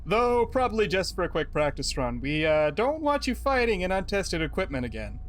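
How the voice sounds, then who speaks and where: A man's voice: high voice, big pitch swings, normal speed. A man playing a breezy, casual sailor in an amateur audio drama. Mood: teasing. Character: breezy, teasing male sailor.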